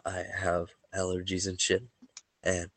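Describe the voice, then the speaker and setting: A man with a deep, stuffed-up voice leads a tabletop session as game master. He talks loosely and jokes around, often restarting his sentences. The recording is dry, with no room sound. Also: monotone, measured pace, fairly bright tone, dry recording. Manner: loose, joking, with frequent restarts. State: stuffy, sniffly voice. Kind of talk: casual chat and recap narration.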